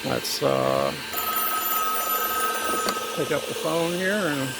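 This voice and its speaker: Gravelly man giving a tech demo. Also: on the high side, very slow, heavy background noise. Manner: informal, explains technical steps plainly, addresses viewers as guys. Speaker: hobbyist presenter of a radio and satellite tech vlog. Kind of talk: casual hands-on tech demonstration.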